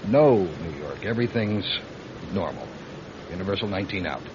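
A man playing an anxious, loyal airline co-pilot in an old-time radio drama, earnest and halting in his speech. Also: sits low, lively intonation. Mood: subdued, official. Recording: muffled, faintly noisy radio drama recording.

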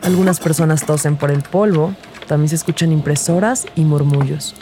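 A woman's voice: deep voice, normal speed, warm tone, faint music behind, natural voice. A woman playing an earnest rookie detective who narrates her case in a scripted audio drama. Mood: measured, narrating. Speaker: narrator and lead character.

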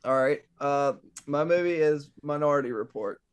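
Relaxed male podcast guest. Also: a little high, normal speed, smooth. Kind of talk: casual podcast chat.

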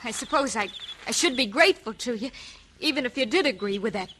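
A woman's voice: on the high side, animated, brisk, sharp tone, a little hiss or hum. A woman acting a proud, indignant young ranch woman in an old radio western, on an old recording. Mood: indignant, reluctant.

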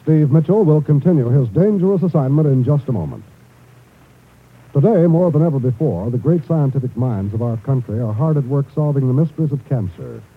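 A man's voice: mid-range voice, husky. An American man announcing in a formal, earnest, emphatic 1950s radio style, reading a scripted appeal. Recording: tinny, muffled 1950s radio recording.

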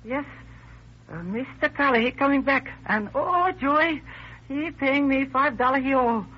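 High-pitched, eager Japanese landlord. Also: male voice, a little slow. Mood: pleased, chatty. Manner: voluble, hesitant broken English, deferential. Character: eager, talkative, polite Japanese rooming-house landlord. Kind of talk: old-time radio drama acting. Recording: muffled, hissy old radio recording.

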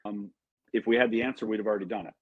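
A man from Illinois co-hosting an interview, talking in a thoughtful, halting way. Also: on the low side, steady tone, breathy edge. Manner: thoughtful, halting, restarts often. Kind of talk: casual interview talk. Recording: dry dull lo-fi sound.

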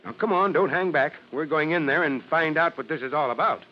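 An actor playing a kindly, steady American fire chief in old-time radio drama, with a reassuring, folksy authority. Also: male voice, a little high, fairly quick, lightly acted. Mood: concerned, coaxing. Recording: muffled, hissy old radio recording.

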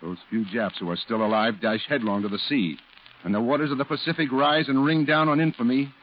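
An actor playing a solemn war correspondent, narrating a 1940s radio drama in a grave, declamatory way. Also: male voice, mid-range voice, fairly even intonation, fairly quick, slight background noise, lightly acted. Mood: fervent, rousing. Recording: dull old radio recording with music.

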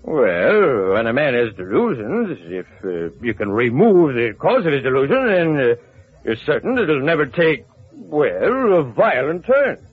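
An embarrassed, polite businessman in a vintage radio play. He is husky and halting, with wide swings in pitch, on muffled old broadcast sound. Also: very animated. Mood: uneasy, embarrassed. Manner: hesitant, halting. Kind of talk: old-time radio crime drama acting.

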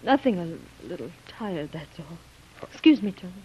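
A woman's voice: lowish voice, big pitch swings, normal speed, mellow, slightly rough, exaggerated voice. An actress playing a strong-willed, overwrought woman nursing patients, stammering and insistent in 1930s radio drama style. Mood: agitated, defensive. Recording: muffled noisy vintage radio recording.

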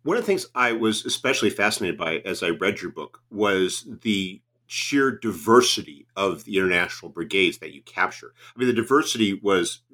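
Male podcast host for book interviews, lowish voice, conversational questioning. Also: expressive, normal speed, hardly any pauses, sharp tone.

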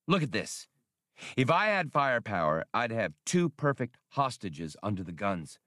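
Guy doing a cocky daring space smuggler for an audiobook, brash n taunting, really animated. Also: lowish voice, moderate pace, no room sound, clean studio sound. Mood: frustrated. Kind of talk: dramatized audiobook character voice.

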